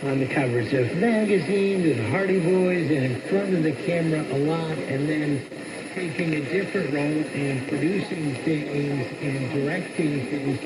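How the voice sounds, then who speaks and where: Male talk radio host, fairly high voice, conversational interviewer, heard through clearly noisy AM reception. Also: moderate pace. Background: loud radio static and droning noise.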